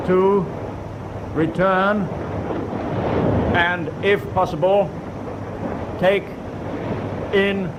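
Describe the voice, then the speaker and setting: A man playing a naval signalman in a wartime radio drama, formally reading a message out haltingly, word by word. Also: high-pitched, dull audio. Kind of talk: WWII radio drama acting. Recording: windy ship deck noise behind.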